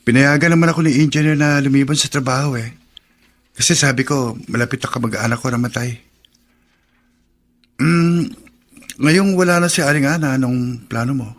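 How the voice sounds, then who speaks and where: An actor with a Filipino accent playing a kind, humble young construction worker in a radio drama, speaking earnestly and gently. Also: male voice, mid-range voice, moderate pace, pauses often, close to an everyday voice. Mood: sympathetic.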